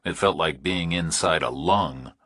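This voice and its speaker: A man's voice: a bass voice, unhurried, bright, forceful tone, husky, dry recording, clean studio sound. A man reading scripted thriller narration as an audiobook narrator.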